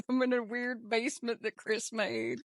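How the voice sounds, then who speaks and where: A woman with a slightly high voice hosts a casual, bantering show. She is playful and teases her co-hosts. The recording is dry and close, with no room around her. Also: fairly even intonation, measured pace, no echo. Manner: playful, teasing. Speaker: podcast host.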